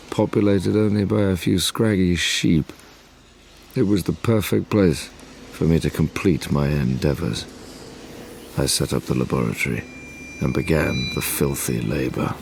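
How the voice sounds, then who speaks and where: A man's voice: a bass voice, vocal fry, darkish tone, a little hiss or hum, exaggerated voice. A male actor playing a brooding, obsessive scientist in a gothic audio drama, formal and intense.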